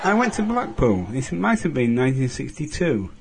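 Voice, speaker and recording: Lively-voiced man with punning asides. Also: mid-range voice, normal speed, vocal fry. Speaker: listener sending an audio message. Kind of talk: recorded voice message, jokey trivia. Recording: noisy home recording.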